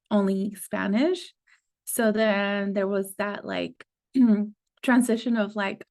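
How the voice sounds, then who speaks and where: A woman's voice: mid-range voice, darkish tone. Chatty woman coach, laughing easily. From United States, Mexican parents. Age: young adult. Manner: chatty, laughs often, many "like" fillers. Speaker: guest, abundance coach. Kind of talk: casual podcast conversation.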